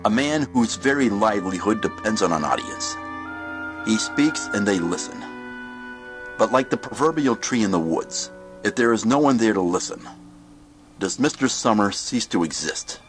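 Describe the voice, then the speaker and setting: An actor playing a grave, portentous narrator introducing an eerie anthology tale in scripted, measured phrases. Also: male voice, lowish voice, expressive, over music, close to an everyday voice. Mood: ominous, grave. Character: grave, ominous Twilight Zone style narrator.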